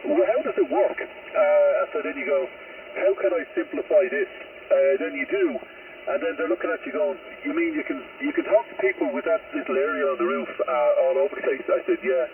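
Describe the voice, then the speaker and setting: A man's voice: high-pitched, wildly swooping pitch, fairly quick, vocal fry, recorded from a distance. A middle-aged man with an Irish accent chatting casually over the radio in a conversational way full of little asides. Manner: chatty, frequent "you know" asides. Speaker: amateur radio operator. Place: out on a high hill spot. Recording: crackly narrow-band radio link, faint noise.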